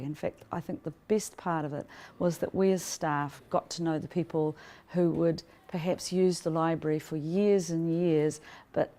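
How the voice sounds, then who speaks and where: A woman speaks off the cuff as a staff member. Her voice is low and mellow, in a conversational testimonial. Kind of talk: unscripted testimonial.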